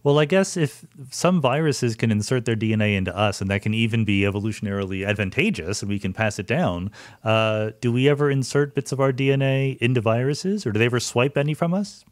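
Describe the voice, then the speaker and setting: A man's voice: mid-range voice, fairly quick, dry recording, clean studio sound. A man hosting a science podcast, asking curious questions and thinking aloud as he talks. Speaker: podcast host. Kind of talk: conversational science interview.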